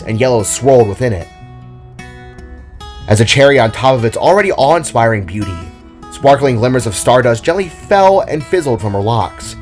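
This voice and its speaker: A man narrating a scripted audio drama story in a deliberate, expressive storytelling manner. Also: mid-range voice. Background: solo acoustic guitar music.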